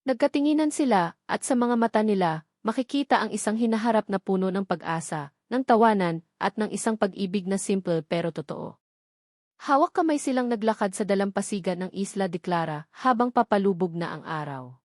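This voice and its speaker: A woman's voice: medium pitch, animated, a little fast, darkish tone, no room sound, clean studio sound. A woman with a Filipino accent narrating a scripted romantic story in a sentimental, earnest read-aloud manner. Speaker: audiobook narrator.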